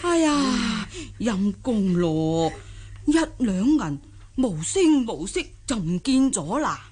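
An actress playing a naive, jolly old country granny in a radio drama, with folksy, exclamatory delivery. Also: female voice, fairly high pitch, sing-song, slow, a little mellow, slightly rough, heavily acted. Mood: dismayed.

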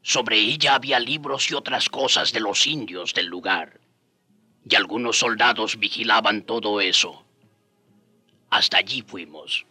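Travelling companion, storyteller, low sharp voice, measured narration, radio drama. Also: male voice, husky, dry recording, faint music behind, heavily acted. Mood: reflective. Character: companion of the friar recalling their journeys.

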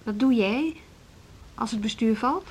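An actress playing a probing, wary woman teacher in a Dutch radio play, with a husky voice. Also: a little high, unhurried. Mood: probing, guarded. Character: probing, scheming female music school teacher, evasive and wary.

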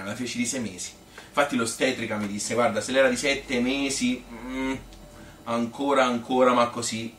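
A man playing a chatty old Tuscan grandmother who tells her life story in a colloquial, rambling, halting stage monologue. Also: medium pitch, a bit bright, lightly acted. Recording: roomy, noisy, distant stage recording.

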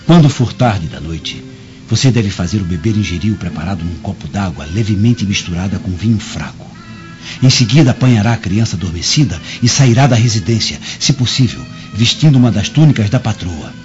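A low, muffled radio-play voice of a vengeful Brazilian plotter, played by a man. He speaks solemnly and with sweeping, dramatic intonation, over soft music. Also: animated, fairly quick, close to an everyday voice. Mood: conspiratorial, assured. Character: vengeful desperate male conspirator against Roman masters. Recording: muffled lo-fi audio, music underneath.

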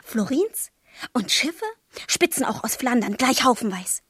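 An actress playing a passionate, wilful thirteen-year-old girl in love, in a literary radio play, with a breathy, husky, intense delivery. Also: fairly high pitch, expressive, unhurried, fairly bright tone, no room sound, studio quality, full character voice. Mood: dreamy, boastful. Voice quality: breathy, husky, often near a whisper. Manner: intense, demanding, dramatic delivery.

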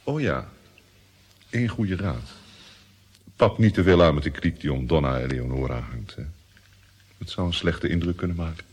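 An actor playing a sly, insinuating courtier with a casual manner in a radio drama. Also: male voice, rumbling low, animated, normal speed, pauses often, a little mellow, breathy edge, heavily acted. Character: smooth, insinuating male courtier.

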